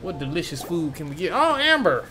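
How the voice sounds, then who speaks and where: A man's voice: fairly high pitch, big pitch swings, a little fast, bright, forceful tone, a little hiss or hum. A man streaming a game, giving casual, slangy reaction commentary in an informal way. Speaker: streamer playing through a game.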